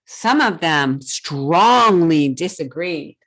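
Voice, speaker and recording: A woman hosting a children's storytime, reading a picture book aloud expressively and pausing to put questions to young listeners. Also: low voice, rough recording. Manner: expressive, deliberate, simple phrasing with questions to children.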